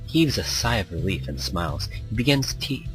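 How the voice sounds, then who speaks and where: Low-voiced man narrating a game, music behind. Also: sing-song, normal speed, poor audio. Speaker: let's play host. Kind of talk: reading visual novel game text aloud with asides. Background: background music.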